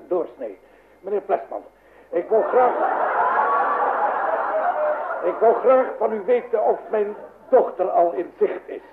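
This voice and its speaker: Fretful high-voiced father, vintage radio. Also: creaky, exaggerated voice. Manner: agitated, stammering, repeating himself. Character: anxious, fussy, blustering middle-aged father. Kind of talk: old radio comedy drama acting. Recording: noisy, dull vintage radio recording.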